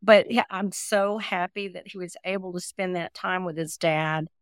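A woman's voice: fairly low pitch, steady tone, normal speed, smooth, dry recording, studio quality. A woman in her sixties telling personal stories as an interview guest, earnest and talkative. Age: 60s. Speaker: interview guest, adoptee advocate. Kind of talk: conversational personal storytelling.

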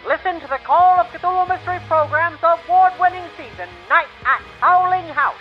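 Promo announcer, voiced by a woman, very high pitch, lo-fi, music faintly underneath. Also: moderate pace. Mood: promotional. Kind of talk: scripted promo read. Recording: dull lo-fi audio, faint music.

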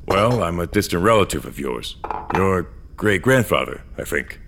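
An actor playing a weary, guilt-ridden, very old augmented great-grandfather in an audio drama, with a hesitant, low-key manner. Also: animated, normal speed, creaky, noisy background. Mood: awkward, explaining. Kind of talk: audio fiction acting with first-person narration.

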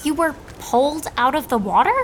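High-voiced, impatient teenage girl. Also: lively intonation, a little fast, a little hiss or hum. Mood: eager, awkward. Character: impatient, blunt, slightly jealous teenage girl. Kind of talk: audio drama acting.

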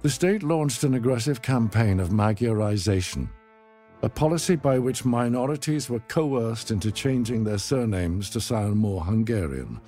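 A man reading a scripted documentary narration in a measured, dramatic storytelling manner. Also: medium pitch, animated, dark tone, faint music behind.

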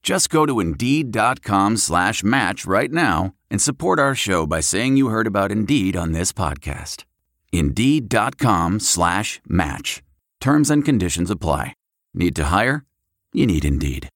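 Guy reading an ad script, kinda low, lots of pitch movement. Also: very animated, a little slow, a bit bright, no room sound, clean studio sound. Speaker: advertisement announcer. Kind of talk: scripted ad read.

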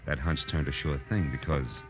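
Tough private detective, very low voice, wry, American, vintage radio drama, musical backing. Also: male voice, steady tone, moderate pace. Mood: wry narration. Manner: hard-boiled, wry, assertive. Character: tough, wry male private detective narrating his case. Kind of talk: 1940s radio drama acting, hard-boiled narration. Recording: old radio recording with music.

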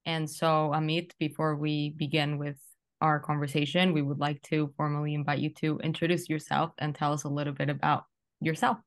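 A woman co-host with a low, flat voice introducing the show. She speaks slowly and formally as she welcomes her guest, with little rise and fall in her tone. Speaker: podcast co-host.

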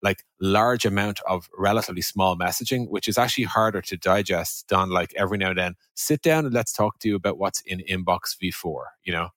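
A man's voice: low voice, brisk, hardly any pauses, fairly bright tone, no room sound. A man hosting a podcast in a conversational style, talking through scenarios and questions. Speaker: podcast host.

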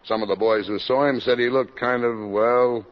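A man's voice: medium pitch, steady tone, normal speed, close to an everyday voice. A man acting as a stubborn, plain-spoken homicide captain in a 1950s American radio drama. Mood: matter-of-fact. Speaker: actor. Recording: dull vintage 1950s radio recording.

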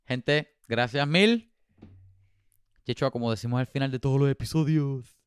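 Very clear-voiced podcast host. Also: male voice, mid-range voice, moderate pace, no room sound, clean studio sound. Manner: playful, exclamatory, laughs often. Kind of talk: casual podcast chat mixing Spanish and English.

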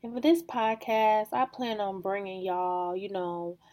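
Woman host, calm monotone delivery, unhurried pace, warm low tone. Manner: casual, with frequent fillers like "you know" and "like". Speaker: podcast host. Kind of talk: faith podcast monologue.

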